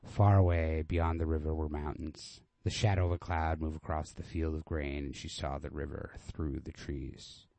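Deep mellow guy reading a short story, understated, really dry close sound. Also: level tone, moderate pace, few pauses, dry recording. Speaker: story reader. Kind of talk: literary short story narration.

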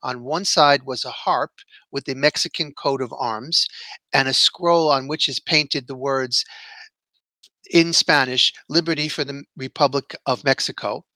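A man's voice: medium pitch, fairly even intonation, measured pace, sharp tone, dry recording. A man hosting a podcast, telling a story in a prepared solo monologue. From New York City, United States. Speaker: podcast host.

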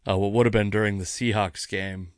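American guy, kinda tipsy, rambling solo podcast, lowish voice, really dry close sound, swears a bit. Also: fairly even intonation, a little fast, no echo. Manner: rambling, self-deprecating, profane. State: mildly tipsy after a few drinks. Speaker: comedian and podcast host. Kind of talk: casual solo podcast monologue.

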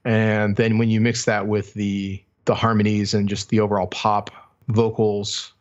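A man with a fairly low voice co-hosts a casual music podcast. He talks reflectively, working out his thoughts as he goes. The recording is a little dull and lo-fi. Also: little pitch movement, a little slow. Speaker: podcast co-host. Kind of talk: casual music review chat.

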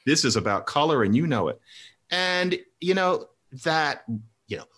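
A man's voice: a little high, big pitch swings, moderate pace, fairly bright tone. A middle-aged man talking through ideas and telling a personal story in a conversational discussion, voice rising sharply when he makes a point. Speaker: commentator.

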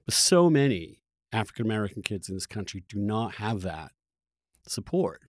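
A man's voice: fairly low pitch, big pitch swings, moderate pace, no room sound, studio quality. A man hosting a reflective long-form interview, who feels his way through his thoughts and often restarts phrases mid-sentence. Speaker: podcast host interviewing a guest.

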